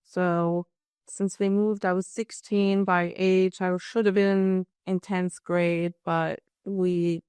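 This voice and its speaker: Native German speaker, woman in her fifties, podcast guest. Low-ish, clean, dry close-mic sound. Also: little pitch movement, slow, a little mellow, clear, resonant tone, dry recording. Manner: chatty storyteller, self-deprecating. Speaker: guest, business owner. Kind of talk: casual interview chat.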